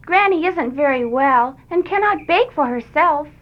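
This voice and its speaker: A woman's voice: sits high, lively intonation, a little slow, voice pushed up. A woman reading a storybook aloud, voicing an innocent, trusting little girl who speaks politely and naively. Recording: dull lo-fi storybook recording.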